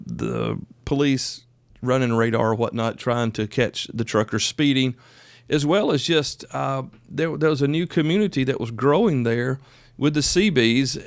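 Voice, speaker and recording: A man's voice: mid-range voice, no echo. Folksy man in his fifties, dry close sound. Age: 50s. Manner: folksy, chatty, reminiscing. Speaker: radio hobbyist podcast host. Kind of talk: casual informal history talk.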